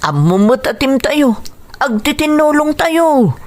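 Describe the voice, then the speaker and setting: Encouraging young friend. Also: female voice, sits high, very animated, takes it slowly, full character voice. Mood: warm, proud. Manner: earnest, encouraging. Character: supportive, spirited young friend cheering on a boxer. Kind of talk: radio drama acting.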